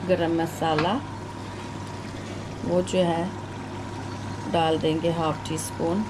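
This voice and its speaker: A woman with a sore, hoarse throat talks chattily through each cooking step, her voice sitting on the low side. Sizzling and frying from the pan come through clearly under her voice. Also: little pitch movement, slow, background noise. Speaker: cooking channel host. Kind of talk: home cooking demonstration. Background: frying and sizzling in a kitchen.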